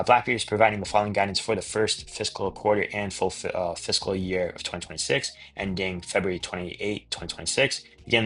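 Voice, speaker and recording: A man's voice: low-pitched, fairly bright tone, breathy edge. A man giving casual financial commentary as a channel host, reading out figures and hedging in a rambling, repetitive way. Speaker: host of a stock investing channel.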